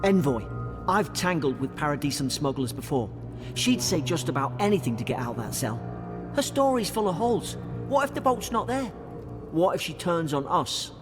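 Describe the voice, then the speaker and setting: A man's voice: on the high side, sing-song, moderate pace. A man playing a loyal, wary imperial soldier companion in a video game, speaking in an earnest, cautious manner. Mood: suspicious. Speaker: game character. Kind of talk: video game dialogue acting. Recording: orchestral game music behind the voice. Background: loud music.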